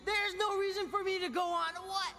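A man's voice: extremely high pitch, steady tone, normal speed, sharp tone, full character voice. A man voicing an intense, brooding young android warrior in a game cutscene, dramatic and strained. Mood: anguished, shouting. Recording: reverberant, noisy cutscene audio.

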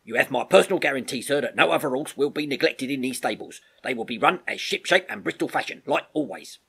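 A man voicing a blunt, loyal army sergeant in a storybook, brisk and plain-spoken with rustic grammar. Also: mid-range voice, animated, creaky, a bit bright, slightly rough, pitched above the performer's own voice, heavily acted. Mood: hearty.

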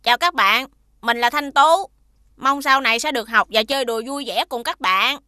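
A high two-faced new schoolboy. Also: female voice, normal speed, sharp tone, dry recording, studio quality. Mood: ingratiating. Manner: polite, ingratiating. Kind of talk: cartoon voice acting.

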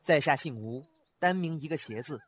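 A man's voice: fairly high pitch, normal speed, a little hiss or hum, close to an everyday voice. A man voicing a kind, flustered young scholar in a costume radio drama, chatty and fretful. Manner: chatty, animated, fretful inner asides. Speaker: voice actor.

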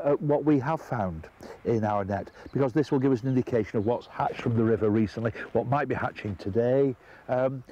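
A man's voice: mid-range voice, warm tone, dull audio. Older man explaining river insects. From England. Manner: unhurried, explanatory, pointing things out. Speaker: fly fishing entomology presenter. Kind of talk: outdoor nature demonstration. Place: outdoors by an upland river among vegetation.

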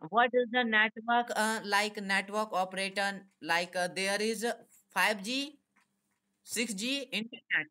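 A young man with an Indian accent chats casually on a phone video call, asking questions haltingly in simple, repetitive English. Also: high-pitched, pushed and bright. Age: young adult. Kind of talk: casual chat with strangers.

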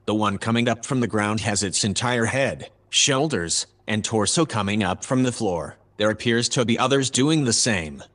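A composed artificial assistant played by a man in a sci-fi audio drama. The voice is fairly low and formal, reporting everything in measured, factual sentences. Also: animated, fairly bright tone. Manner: formal, measured, matter-of-fact. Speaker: voice actor.

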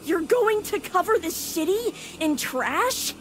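A woman's voice: very high voice, sing-song, slow pace, fairly bright tone, slight background noise, exaggerated voice. A woman voicing a small, earnest, worried hermit crab hero who pleads in a video game cutscene. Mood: pleading.

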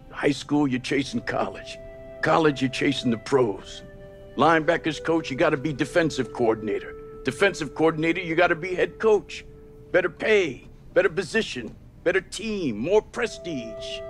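A middle-aged football coach with a fairly high voice. He is stern, blunt and worn down by the job, in a dramatic sports game with soft music behind. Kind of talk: naturalistic dramatic game acting.

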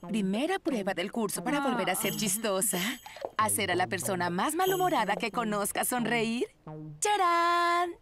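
Perky, lively cartoon lady played by a woman. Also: on the high side, normal speed, music in the background, lightly acted. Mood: playful. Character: eager, corny grown woman trying hard to be funny. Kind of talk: dubbed cartoon acting.

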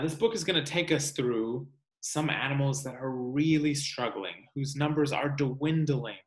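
A man in his thirties, a teacher reading a picture book aloud to children in measured, clear phrases at home. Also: medium pitch, fairly bright tone, poor audio. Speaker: teacher reading aloud to third-grade class. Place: room at home.

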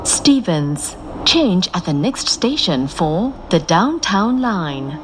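A woman making scripted train announcements in a formal, clearly enunciated, measured manner. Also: fairly low pitch, sing-song, slight background noise. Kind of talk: scripted recorded transit announcements. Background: loud moving train ambience.

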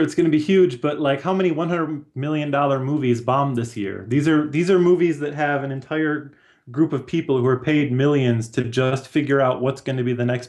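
A man's voice: mid-range voice, darkish tone. A man in his thirties chatting casually as a guest on a video call. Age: 30s. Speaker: guest, comics artist. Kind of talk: casual chat. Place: small room at home or office. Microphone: video call, headphones.

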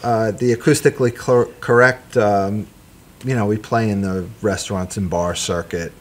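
A middle-aged man with a gruff voice, a musician guest chatting easily on a small studio set, with plenty of ums and uhs. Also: on the low side, fairly even intonation, slight background noise. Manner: easygoing, halting with fillers. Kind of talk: casual chat.

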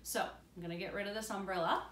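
A woman in her thirties reads a storybook aloud to children as a teacher, pausing to explain things in simple words. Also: medium pitch, steady tone, fairly bright tone. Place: indoor room beside a large glass door. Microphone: camera a few feet away. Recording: roomy, distant camera mic with faint noise.

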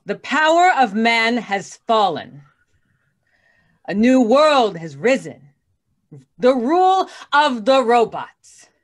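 An actress playing a cold, commanding robot revolutionary in old-time radio drama style. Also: female voice, on the high side, sing-song, slow pace, full character voice. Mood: triumphant. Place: indoors, on a video call.

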